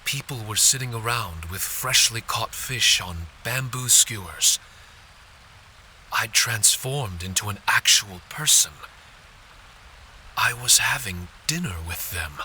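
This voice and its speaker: A man voicing a gentle, polite spirit warrior in a video game, earnest and a little hesitant. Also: on the low side, lively intonation, slow pace, slight background noise, heavily acted, rough recording. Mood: reflective.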